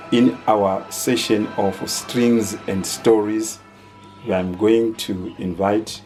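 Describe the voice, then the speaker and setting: A man hosting an interview, introducing and questioning in a formal, deliberate, halting way. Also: lowish voice, darkish tone. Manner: deliberate, halting, ceremonious phrasing. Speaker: host interviewer.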